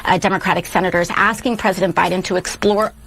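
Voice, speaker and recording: A woman speaking as a reporter putting a question to an official, in a halting manner. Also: lowish voice, steady tone, gravelly, fairly bright tone.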